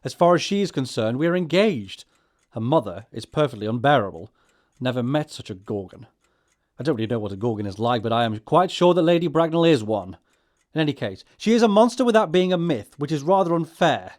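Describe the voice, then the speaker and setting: An actor playing an earnest, easily flustered young upper-class English gentleman with a proper, fussy manner, in a stage comedy audio production. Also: medium pitch, expressive, a little fast, close to an everyday voice. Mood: exasperated.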